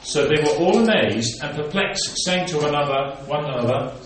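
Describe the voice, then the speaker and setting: A man's voice: fairly high pitch, animated, moderate pace. A man preaching a sermon, reading scripture aloud and then explaining it to his congregation. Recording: very echoey room, voice from a distance.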